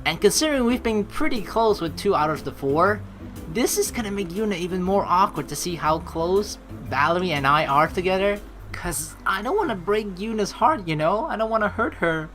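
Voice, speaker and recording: Animated, bright-voiced woman game commentator. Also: mid-range voice, moderate pace, faint music behind. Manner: animated, exclaims and reacts to the story. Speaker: let's play host. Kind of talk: excitable game commentary mixed with reading narration aloud.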